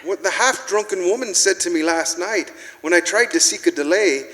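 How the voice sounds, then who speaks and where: An actor playing a careworn, tender husband in a live radio play, earnest and emotional in a reverberant hall. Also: male voice, high voice, moderate pace, fairly bright tone. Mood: relieved, earnest. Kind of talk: live radio-play acting of Victorian story. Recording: reverberant church hall, music behind. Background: music.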